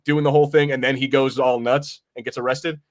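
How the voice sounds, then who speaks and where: A man's voice: mid-range voice, flat delivery, brisk. A man chatting casually as a podcast co-host, in a rambling, slangy way. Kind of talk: casual movie review chat.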